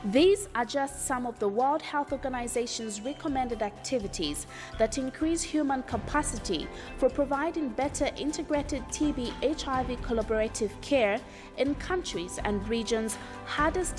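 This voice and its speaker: A woman reading scripted health education narration for a documentary in a measured, formal way. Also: on the high side, expressive. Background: music.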